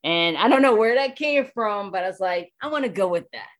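A woman, a voice actor being interviewed, talking casually and haltingly as she thinks aloud. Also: mid-range voice, animated.

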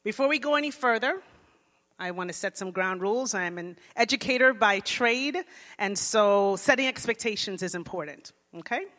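A woman from Jamaica speaking as a guest preacher to a congregation, lively and direct, calling out to the room. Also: medium pitch, moderate pace. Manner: lively, engages congregation, softens into prayer. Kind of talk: church sermon talk. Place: church sanctuary. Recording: long reverb of a large hall.

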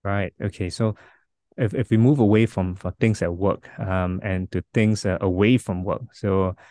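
A man's voice: sits low, steady tone, dark tone, no echo, clean studio sound. A man in his forties hosting a podcast, talking casually with many restarts. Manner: halting, many restarts and fillers. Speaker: podcast host.

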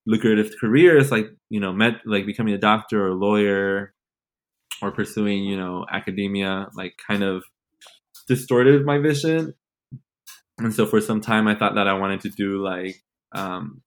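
A man in his twenties from Mexico, Los Angeles and Nashville, talking casually as a podcast guest in an informal, playful, slangy way. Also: lowish voice. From born in Mexico, grew up in Los Angeles and Nashville. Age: 20s. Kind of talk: casual conversational interview.

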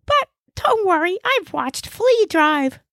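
A tiny, cranky alien guy, played by a woman narrator, speaks way up high in an audiobook. He is brash and bossy, with folksy grammar and invented words. The sound is dry and close. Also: very animated, slow pace, a bit bright, dry recording, full character voice. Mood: bossy, boastful. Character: bossy, cranky small male alien, mangles big words. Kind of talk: audiobook character voice.

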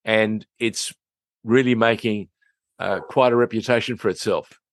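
A man's voice: on the low side, fairly even intonation, measured pace, dry recording. A middle-aged or older man, hosting a conversational review of TV series and repeating phrases for emphasis.

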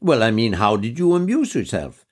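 A man's voice: mid-range voice, big pitch swings, normal speed, a little mellow. A man voicing an earnest young army officer asking plain questions. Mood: curious.

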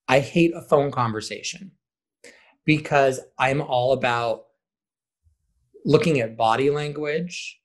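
A man who teaches and directs theater, answering interview questions in an expressive, emphatic, conversational way. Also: mid-range voice, slow, long pauses.

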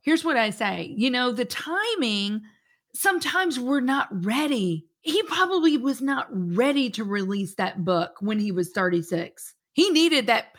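Upbeat female podcast host with a bright, fairly high voice. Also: sing-song, a little slow. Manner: enthusiastic, encouraging. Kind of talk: casual interview talk.

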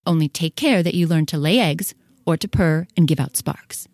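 A woman's voice: sits low, moderate pace, a little mellow, no room sound. A woman playing a conceited, preachy hen who scolds in a full-cast storybook dramatization. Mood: scolding, self-righteous. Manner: bossy, preachy, self-righteous.

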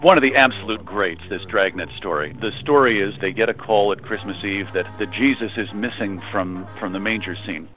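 Low-voiced man on a phone-like line. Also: fairly quick, hardly any pauses, over music. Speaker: podcast guest, radio DJ. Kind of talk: conversational storytelling.

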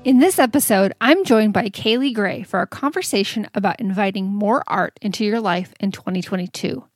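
A woman's voice: medium pitch, fairly quick, no echo, clean studio sound, faint music behind. A woman hosting a podcast and interviewing a guest in an upbeat, enthusiastic way. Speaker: podcast host. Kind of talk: podcast interview, scripted intro.

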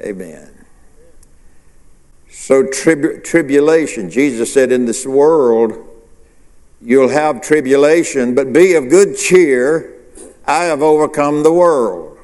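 A man's voice: medium pitch, expressive, slow pace, pauses often, a little mellow, long reverb tail. A male pastor preaching a sermon, asking the congregation questions and answering them himself. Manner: preacherly call-and-response, rhetorical questions.

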